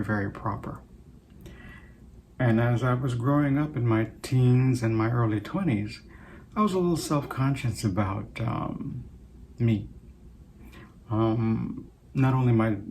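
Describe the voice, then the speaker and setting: An older man in his sixties, raised in Trinidad, talks to his viewers as host of his own show. His voice sits in an ordinary middle pitch, and he speaks unhurriedly and reflectively, pausing often as he gathers his thoughts. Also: a little mellow, a little hiss or hum. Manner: reflective, unhurried, with many pauses. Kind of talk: casual personal talk to camera.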